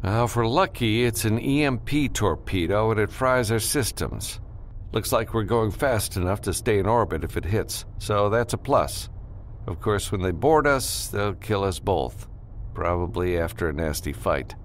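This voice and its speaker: A man's voice: on the low side, animated, normal speed, slight background noise. Man as an unflappable, dry-spoken spaceship pilot. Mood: wry. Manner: dry, matter-of-fact, instructing. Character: gruff, unflappable male spaceship pilot, seasoned freelancer.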